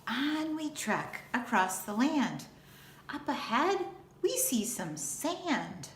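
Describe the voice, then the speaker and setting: A woman reads aloud for children like a schoolteacher. Her delivery is unhurried, deliberate and expressive. The room adds noticeable echo, with a faint layer of noise behind her. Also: high-pitched. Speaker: school teacher reading aloud. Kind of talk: children's picture book read-aloud.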